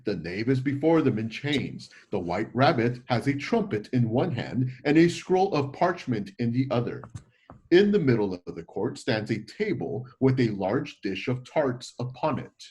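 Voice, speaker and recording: A man reads scripted scene descriptions as a story narrator. His pitch is mid-range for a man and moves in a lively, animated way from phrase to phrase. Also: normal speed.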